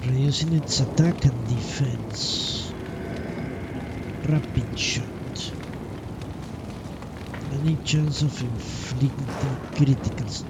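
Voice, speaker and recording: A man's voice: mid-range voice, slow, pauses often, very noisy. A man doing casual live commentary on a game, musing and muttering to himself in short fragments as he thinks aloud. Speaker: live streamer playing a role-playing game.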